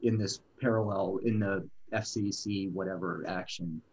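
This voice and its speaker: A man's voice: low-pitched, fairly even intonation, normal speed, darkish tone, slight background noise. A middle-aged man serving as a judge, questioning an attorney at oral argument in a probing, conversational way. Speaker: appellate judge. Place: courtroom bench, indoors.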